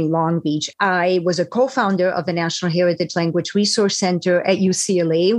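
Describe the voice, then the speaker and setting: A woman, a professor, answering panel questions in a direct, emphatic way over a video call. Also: on the low side.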